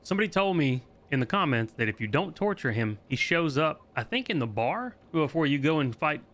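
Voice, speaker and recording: A man's voice: fairly high pitch, very animated, a little fast, a bit bright. A man hosting a video game guide, giving informal, matter-of-fact walkthrough commentary. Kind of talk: casual walkthrough commentary, reading quest dialogue aloud.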